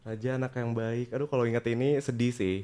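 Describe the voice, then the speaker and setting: A man's voice: mid-range voice, level tone, fairly quick, warm tone. A man in his twenties with an Indonesian accent, telling his personal story casually as an interview guest and mixing in English phrases. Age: 20s. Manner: frequent English phrases mixed into speech. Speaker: podcast guest, skincare content creator and entrepreneur.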